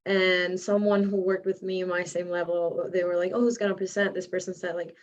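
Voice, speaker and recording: A woman's voice: fairly low pitch, flat delivery, a little fast, highs cut off. A woman whose first language is Spanish, speaking English with a slight accent as she tells a story on a panel and acts out the quotes. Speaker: panelist, architect.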